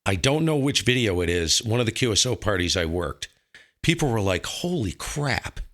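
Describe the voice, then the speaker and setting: American guy hosting a hobby chat, bright forceful voice, really drives his points home. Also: fairly low pitch, big pitch swings, normal speed. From northern United States. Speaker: host of a ham radio show. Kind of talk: casual opinionated conversation about radio operating.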